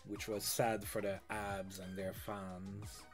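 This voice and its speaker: A man with a fairly low voice talks through a management game live, chatty and relaxed as he reads out news items and adds his own asides. Soft music plays faintly behind him. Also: slow. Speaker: game streamer. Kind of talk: casual let's-play commentary.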